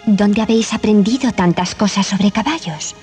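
Young fairy-tale princess played by a woman, proud but softening, Castilian Spanish, old cassette with faint music. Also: medium pitch, normal speed, lightly acted. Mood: knowing, composed. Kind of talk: children's storybook dramatization. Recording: 1980s cassette, music behind.

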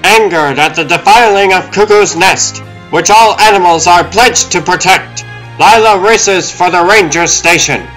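A man's voice: high-pitched, a little slow, a bit bright, soft background music, poor audio. A man reading dramatic scripted narration as the narrator of a comic dub.

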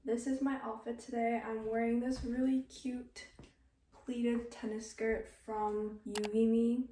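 A woman's voice: on the high side, level tone, measured pace, mellow, slight background noise, low-quality recording. A seventeen-year-old girl from Canada, chatting casually to the camera in her bedroom, upbeat and talkative with lots of "like" and "literally". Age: 17, teenager. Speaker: vlogger. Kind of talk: casual vlog chat to camera. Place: bedroom at home, indoors.

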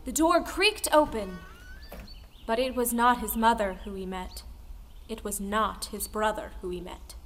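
High-voiced female storyteller. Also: unhurried, soft background music. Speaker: audio play narrator. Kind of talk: dramatic scripted storytelling narration.

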